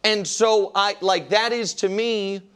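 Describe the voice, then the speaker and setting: A man's voice: high voice, steady tone, takes it slowly, a bit bright, smooth. A man on a sports panel debating off the cuff, often cutting in to argue his point.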